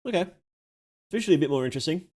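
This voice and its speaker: A man's voice: on the high side, expressive, very fast, darkish tone. A man giving casual live reaction commentary as a streamer, questioning things and thinking aloud.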